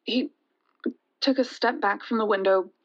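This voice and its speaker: A woman playing a shaken young woman who recounts a frightening night in an audio drama, halting and conversational, with hesitations and restarts. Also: mid-range voice, lightly acted. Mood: uneasy recounting. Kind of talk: first-person dramatic storytelling in an audio drama. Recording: dull, lo-fi sound.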